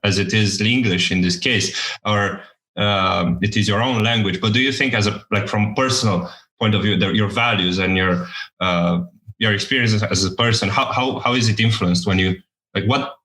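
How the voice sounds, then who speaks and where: A man's voice: on the low side, sharp tone. A man interviewing a guest on a podcast, asking long questions hesitantly and rephrasing them with many fillers. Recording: echoey room.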